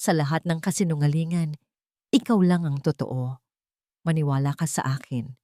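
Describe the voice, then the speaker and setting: A woman's voice: low-pitched, moderate pace, dark tone, no echo, studio quality, natural voice. A woman voicing a desperate, guilt-ridden man who pleads earnestly with his beloved in an audio love story. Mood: earnest pleading.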